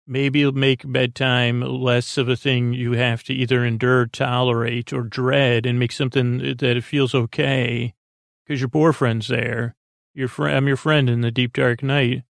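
A man hosting a sleep podcast, rambling in a halting, goofy, gently self-deprecating way that wanders into tangents and trails off mid-thought. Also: medium pitch, level tone, vocal fry, no echo, studio quality. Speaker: podcast host. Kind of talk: rambling bedtime monologue to help listeners fall asleep.

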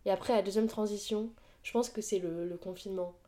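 A woman's voice: mid-range voice, steady tone, brisk, mellow. A young woman of about twenty co-hosting a podcast, talking hesitantly with casual slang. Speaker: podcast co-host. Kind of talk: casual chat.

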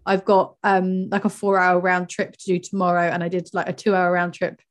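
A woman's voice: on the low side, little pitch movement, fairly quick. A woman, co-hosting a podcast in casual chat, sweary and laughing often. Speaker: podcast co-host.

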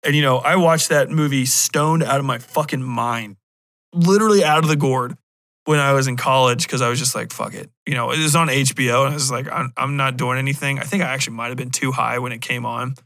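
A man's voice: medium pitch, brisk, no room sound. A man hosting a podcast, talking in a casual, rambling way with blunt, irreverent swearing and joking asides. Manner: animated joking asides, acting out imagined remarks. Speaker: podcast host. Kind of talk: casual rambling review talk.

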